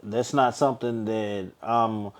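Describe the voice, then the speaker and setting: American guy in an interview, slow and thoughtful, lowish voice, keeps restarting bits. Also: steady tone. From United States. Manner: measured, reflective, restarts phrases. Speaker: guest. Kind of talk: candid interview talk.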